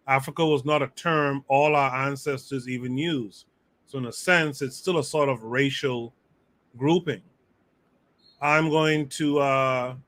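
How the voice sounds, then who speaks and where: A man hosting a podcast livestream, reading viewer comments aloud in a measured way and passing questions to guests. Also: medium pitch, fairly bright tone. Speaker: host.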